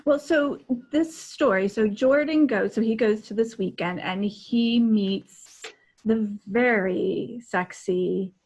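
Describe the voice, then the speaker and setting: A middle-aged woman co-hosting a casual video-call chat, excitable and halting, often repeating herself, with a husky voice. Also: medium pitch, poor audio. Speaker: co-host. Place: indoor room, on a video call.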